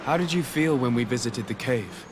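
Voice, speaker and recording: Courteous, composed gentleman adventurer played by a man. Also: medium pitch, lively intonation, normal speed, noisy background, lightly acted. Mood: gentle, interested. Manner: polite, formal, restrained. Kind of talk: video game dialogue acting.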